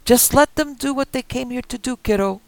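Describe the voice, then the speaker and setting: A man voicing a greedy, menacing older man who holds a shotgun, with a gruff, threatening drawl. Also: very high voice, fairly quick, no room sound, voice pushed up. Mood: cold.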